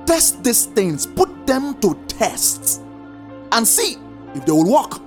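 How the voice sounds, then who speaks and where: A preacher teaching a congregation, stressing points in slow drawn-out repeated commands. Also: mid-range voice, soft background music. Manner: lively sing-song emphasis, slow drawn-out repeated commands. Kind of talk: sermon teaching.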